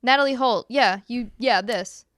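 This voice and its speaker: A woman's voice: on the high side, moderate pace, a bit bright, no echo, clean studio sound. A woman co-hosting a podcast, chatting casually in an agreeable, supportive way. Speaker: podcast co-host. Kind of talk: casual chat.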